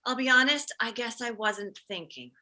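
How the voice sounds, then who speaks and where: A woman's voice: a little high, moderate pace, pushed and bright. A woman playing an impulsive, overprotective middle-aged mother in a comedy table read, emphatic and self-justifying. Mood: sheepish.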